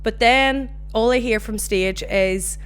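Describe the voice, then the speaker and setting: A young Northern Irish woman hosting her own podcast, chatting casually and telling animated stories. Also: on the high side, takes it slowly, smooth, soft background music. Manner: chatty, animated storytelling with swearing. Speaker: podcast host. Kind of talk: casual solo chat.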